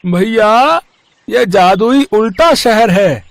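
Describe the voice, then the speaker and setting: A man's voice: high-pitched, animated, very slow, gravelly, no echo, heavily acted. A man voicing a friendly, helpful auto-rickshaw driver in a children's cartoon story, eager and reassuring. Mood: cheerful, helpful.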